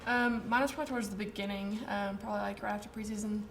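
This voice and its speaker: A woman's voice: medium pitch, steady tone, a little hiss or hum. A young woman from Tennessee, a college soccer player, chatting and telling a story with a laugh. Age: young adult. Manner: quick, chatty, laughing through stories. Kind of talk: casual storytelling. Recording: echoey room with people around.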